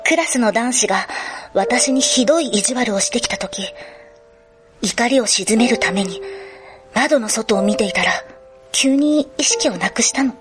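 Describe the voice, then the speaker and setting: A woman's voice: fairly high pitch, animated, measured pace. A woman playing a troubled, polite schoolgirl in a radio drama, confessing emotionally in ladylike speech. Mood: haunted. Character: troubled, polite schoolgirl fearing her violent second self. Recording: music behind the voice.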